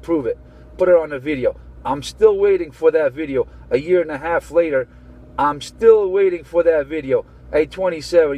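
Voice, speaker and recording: A man's voice: medium pitch, a little mellow, rough recording. A man chatting on a CB radio, slangy and swearing, trading call signs. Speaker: CB radio operator. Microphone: radio transceiver mic. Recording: transmitted over CB radio.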